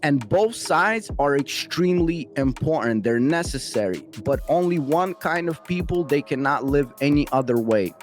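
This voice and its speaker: A man's voice: fairly high pitch, lively intonation, moderate pace, hardly any pauses, faint music behind. A middle-aged man from Western Ukraine, hosting a podcast interview in an earnest, heartfelt conversational way. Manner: earnest, heartfelt, speaks in sweeping moral statements. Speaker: podcast host.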